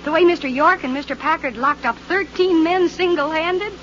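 An actress playing a gushing, pert young American stewardess in a 1940s radio drama. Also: female voice, very high voice, moderate pace, fairly bright tone. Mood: pertly unimpressed. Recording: slightly noisy, dull old-time radio recording.

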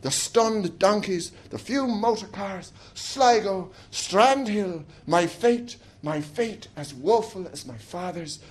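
A reader giving a measured, lyrical reading of a first-person literary passage. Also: medium pitch, expressive.

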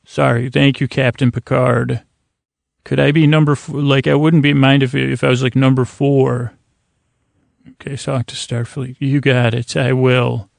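A man hosting a bedtime sleep podcast, rambling in a halting, stammering way and often trailing off mid-thought. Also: medium pitch, flat delivery, vocal fry, no echo. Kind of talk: rambling, meandering bedtime monologue.

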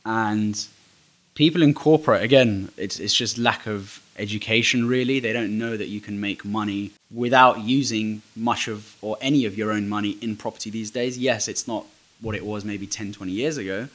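A man's voice: on the low side, steady tone, normal speed, fairly bright tone. A man hosting a podcast, talking candidly and with strong opinions, often restarting his sentences. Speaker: podcast host. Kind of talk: casual interview chat.

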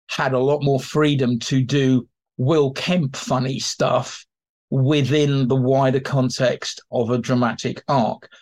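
A man speaking as an expert guest, explaining informally in an animated way and slipping into acted-out examples. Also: mid-range voice. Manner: animated, acts out imagined quotes. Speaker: expert guest, theatre historian. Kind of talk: informal explanatory interview talk.

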